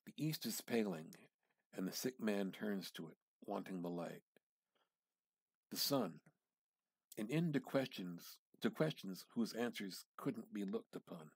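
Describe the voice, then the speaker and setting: A man giving an amateur dramatic reading of literary fiction, theatrical and halting, with pauses and emphatic repetition. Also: on the low side, expressive, a little mellow, husky, no echo. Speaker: amateur reader.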